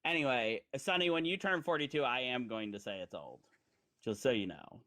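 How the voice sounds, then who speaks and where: A man in his forties, from Indiana, talking casually as a show host. His voice sits at an ordinary middle pitch. He rambles and teases, with frequent "um"s and "like"s. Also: lively intonation, normal speed, pushed and bright. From Indiana, USA. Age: 40s. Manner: rambling, chatty, with lots of fillers and teasing. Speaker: podcast host. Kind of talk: casual live-stream chat.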